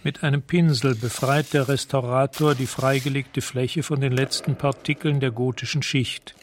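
A man's voice: medium pitch, fairly even intonation, normal speed, a little hiss or hum. A man narrating a radio drama in a detached, precise, scene-setting way.

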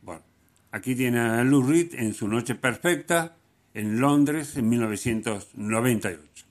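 A man hosting a music radio show, talking unscripted and musingly, halting and repeating words for emphasis. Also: mid-range voice. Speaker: radio host.

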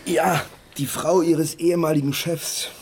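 An actor playing a sardonic police detective in a radio drama, teasing and wryly polite. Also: male voice, a little high, little pitch movement, a little slow, a little mellow, a little hiss or hum. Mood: sly, sardonic.

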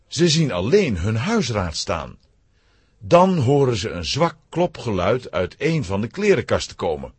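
A man narrating a scripted children's adventure story in an expressive storytelling manner. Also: mid-range voice, a little slow, husky, no echo.

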